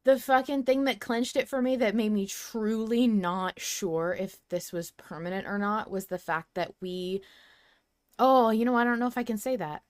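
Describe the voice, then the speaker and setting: A woman's voice: mid-range voice, normal speed, dry recording. A woman hosting a casual fan podcast, speaking in an emphatic, mock-dramatic way. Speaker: podcast host.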